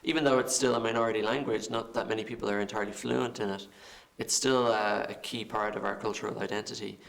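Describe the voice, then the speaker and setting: Irish man, low and gravelly, flat delivery, measured, halting interview speech. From Ireland. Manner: halting, repeats words while thinking. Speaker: film director. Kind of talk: interview answers.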